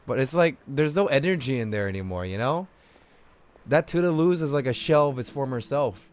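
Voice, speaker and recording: A man's voice: mid-range voice, lively intonation, brisk, a little mellow. A man giving casual live commentary as a game streamer, blunt and informal, with some swearing. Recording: faint game music behind.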